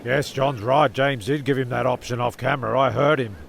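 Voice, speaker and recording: A man playing a defensive, rambling teller of tall tales in an audio comedy, stammering and swearing in a gravelly voice. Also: medium pitch, fairly even intonation, brisk, a little hiss or hum. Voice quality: gravelly, creaky. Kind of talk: comedic audio drama storytelling. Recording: guitar music behind the voice.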